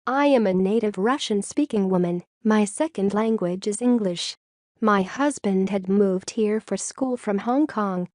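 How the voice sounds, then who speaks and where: A woman reading forum posts aloud in a steady, even reading voice. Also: mid-range voice, unhurried, no echo, studio quality.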